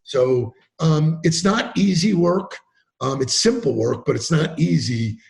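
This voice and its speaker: A man talking as a podcast guest in a casual interview, animated and emphatic, acting out quotes as he tells stories. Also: fairly high pitch, measured pace, vocal fry. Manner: animated, emphatic storyteller who acts out quotes. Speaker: podcast guest, sales trainer.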